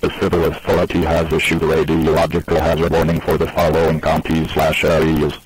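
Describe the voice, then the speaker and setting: A man's voice: very deep voice, completely flat delivery, fairly quick, rough recording. A man reading a scripted emergency alert in an impersonal, formulaic way.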